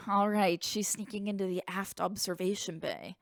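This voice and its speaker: A woman's voice: mid-range voice, steady tone, a little slow, gravelly, no room sound. A woman playing a blunt, wry shipboard snoop with a kind streak, speaking dryly in a sci-fi radio drama. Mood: wry, reluctant. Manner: dry, sighing, matter-of-fact.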